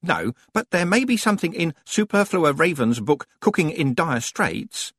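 A man's voice: on the high side, very animated, normal speed, fairly bright tone, slightly rough, no echo, clean studio sound, voice pushed up, heavily acted. A man voicing an earnest, bookish deputy librarian with a precise, matter-of-fact manner in a storybook reading. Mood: earnest, helpful.

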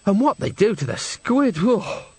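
A man voicing a wistful opera singer from rough city slums, hesitant and complaining, in a storybook reading. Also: high voice, big pitch swings, a little slow, mellow, dry recording, pitched above the performer's own voice, heavily acted. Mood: plaintive.

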